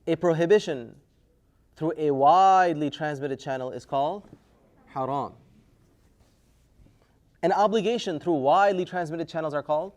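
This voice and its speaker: A man's voice: fairly high pitch, moderate pace, pauses often, darkish tone. A man teaching an Islamic studies class, lecturing casually and quizzing students, often pushing his voice up to prompt answers. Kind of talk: classroom lecture with call-and-response.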